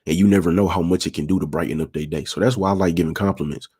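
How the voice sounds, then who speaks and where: A young man with a raspy voice, talking in a laid-back, smooth way and repeating himself as he hosts a casual livestream. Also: low voice, fairly even intonation, very fast, mellow. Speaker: livestream host.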